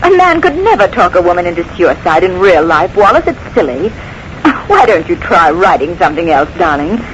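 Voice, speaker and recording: An actress playing an assured, dismissive wife and theatrical producer, breezy and firm, in a 1940s radio mystery. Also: female voice, on the low side, big pitch swings, moderate pace. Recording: slightly noisy vintage 1940s radio broadcast.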